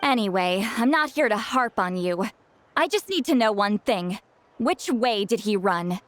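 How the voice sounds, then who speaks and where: A woman's voice: mid-range voice, expressive, a little slow, no room sound, close to an everyday voice. A woman playing an aloof, self-assured, slightly prickly young shaman in a video game, with a dry, offhand delivery. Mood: cool, commanding.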